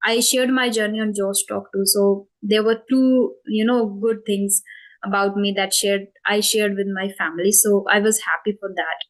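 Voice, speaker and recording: A young Indian woman talks through her story in a conversational interview. Her English carries an Indian accent, and she pauses often on fillers and corrects herself mid-sentence. Her pitch and pace sit in the middle range. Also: fairly even intonation, rough recording. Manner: thoughtful, many fillers and self-corrections. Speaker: spoken English channel host.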